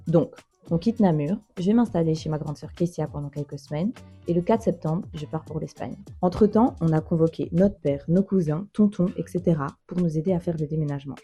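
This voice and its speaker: A young Belgian woman in her early twenties with a low, soft, dark voice. She vlogs in a relaxed, chatty way, drops English words and youthful slang into her French, and has faint music playing under her talk. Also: husky. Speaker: vlogger. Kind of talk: casual vlog with scripted voice-over narration.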